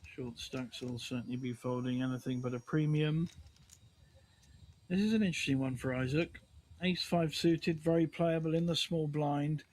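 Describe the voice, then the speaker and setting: A man's voice: a little high, moderate pace, darkish tone, slight background noise. A man giving chatty, conversational live commentary on a poker livestream.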